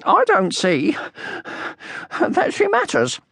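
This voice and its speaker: A man voicing a small, timid yet boastful young male creature in a children's storybook, whiny, excitable and self-important. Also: very high-pitched, slow, gravelly, no echo, studio quality, voice pushed up, full character voice. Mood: breathless, peevish. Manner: excitable, screechy, self-important muttering and squealing.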